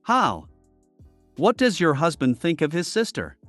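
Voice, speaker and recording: A man narrating reddit posts in a plain, even read-aloud style. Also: a little high, measured pace, no room sound, faint music behind. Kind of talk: scripted narration reading posts.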